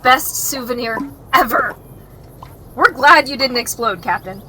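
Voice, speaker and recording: A woman playing a sweet, excitable young spaceship crew member, perky and enthusiastic, in a sci-fi comedy audio drama. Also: fairly high pitch, a little slow, background noise. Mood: delighted.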